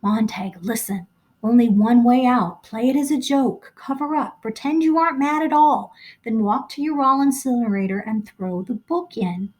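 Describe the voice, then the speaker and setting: An older man pressing urgent, hushed advice, as a woman reader puts him on. The voice stays close to the reader's own. It is a storybook voice, low-key and insistent. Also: moderate pace, lightly acted, poor audio. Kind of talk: audiobook character voice.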